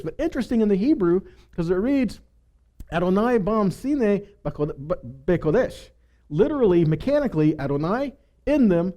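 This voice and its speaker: Animated, high-pitched man preaching. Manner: animated, rhetorical questions and check-in tags like "right?". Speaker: preacher teaching a congregation. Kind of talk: conversational sermon teaching.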